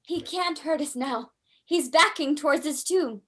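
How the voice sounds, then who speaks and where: A woman's voice: high voice, unhurried, a bit bright. A woman playing a frightened, devoted young daughter, breathless and anxious, in an old time radio horror play.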